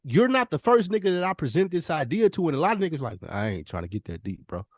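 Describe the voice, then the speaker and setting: A man's voice: fairly high pitch, big pitch swings, fast, husky, no echo, studio quality. An American man co-hosting a casual podcast, talking earnestly and repeating phrases for emphasis. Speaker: podcast co-host.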